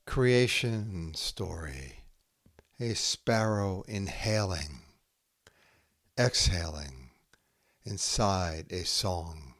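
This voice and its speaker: A man with a low voice reads poetry aloud at a very unhurried pace. He delivers it in short, measured phrases with space between them. The sound is dry, with very little room in it. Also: animated, fairly bright tone, no room sound. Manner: measured, with short phrases set apart by pauses. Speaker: podcast host reading poetry aloud. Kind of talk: poetry reading, haiku recited line by line.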